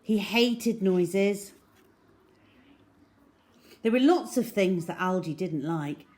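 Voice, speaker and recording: Slow, animated woman reading a children's story. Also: mid-range voice. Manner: dramatic, animated.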